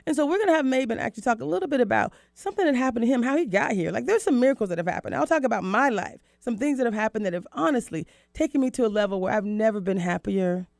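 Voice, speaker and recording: A woman's voice: a little high, animated, very fast, creaky, hardly any pauses, a little mellow, no room sound, clean studio sound. A woman hosting a radio talk show in an emphatic, enthusiastic, conversational way. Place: dry radio studio.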